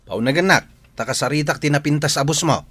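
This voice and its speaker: A man's voice: a little high, fast talker, a bit bright, slightly rough, no echo. A man with an Ilocano accent playing a pushy, boastful troublemaker in an audio drama, taunting and insulting. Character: brash male troublemaker, mocking, pushy and boastful.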